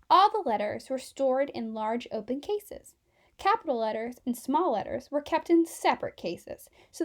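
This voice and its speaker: A woman reading a children's book aloud as an expressive, scripted narrator. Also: high voice. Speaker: children's story reader.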